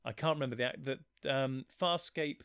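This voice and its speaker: A man's voice: mid-range voice, brisk, a bit bright, clean tone, no echo, studio quality. A man speaking casually as a writer being interviewed, in an informal conversational way. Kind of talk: casual chat.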